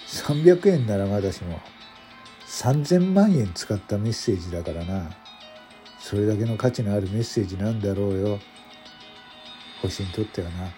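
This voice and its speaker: Man acting brooding veteran detective, dark low voice. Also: animated, moderate pace, long pauses, faint music behind, natural voice. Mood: musing. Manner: terse, rueful, musing. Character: brooding veteran male police detective, gruff, regretful. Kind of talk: radio drama acting.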